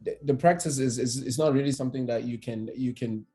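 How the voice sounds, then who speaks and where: A man from Cameroon in his thirties, an immigration attorney speaking as a podcast guest, relaxed and hesitant with many fillers and restarts. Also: mid-range voice, a little fast, soft background music. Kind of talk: conversational podcast interview.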